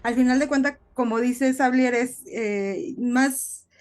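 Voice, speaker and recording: Podcast co-host woman, highish voice, thinking out loud, casual, keeps asking right?. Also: takes it slowly.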